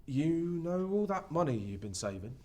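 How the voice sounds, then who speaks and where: A man's voice: a little high, very animated, a little mellow. An actor playing a lost, regretful young man who hides behind showmanship in a theatrical stand-up style monologue. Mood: uneasy confession. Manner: confessional, halting, with showy bursts.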